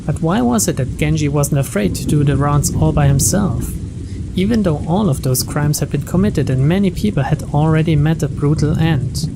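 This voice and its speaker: A man's voice: fairly high pitch, normal speed, vocal fry, heavy background noise. A man reading a mystery story aloud as narrator, in a scripted narration style.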